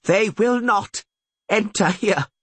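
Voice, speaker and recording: A man's voice: sits high, slow pace, a bit bright, husky, dry recording, pitched above the performer's own voice, exaggerated voice. A man voicing an imperious older female archmage in an audiobook, speaking in formal, proclamatory tones. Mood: commanding. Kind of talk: audiobook character voice.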